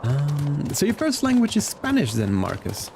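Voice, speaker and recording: Animated Flemish man, mellow voice. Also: normal speed, gravelly, a little hiss or hum. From Belgium. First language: Dutch. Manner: animated, laughs often, excitable pitch jumps. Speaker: game streamer. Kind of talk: casual live-stream chat reading comments.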